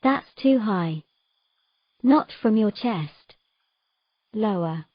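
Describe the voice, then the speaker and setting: Patient mermaid played by a woman, mid-pitched, measured slow delivery, soft instruction, romantic fantasy drama. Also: lively intonation, no room sound, dull audio. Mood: instructing.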